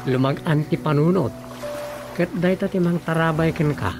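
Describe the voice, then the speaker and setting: A man acts out an easygoing fellow who likes giving advice in a radio drama comedy. His creaky voice has an Ilocano accent and an earnest tone. Music sits clearly under it. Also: fairly high pitch, moderate pace, close to an everyday voice. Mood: earnest, advising.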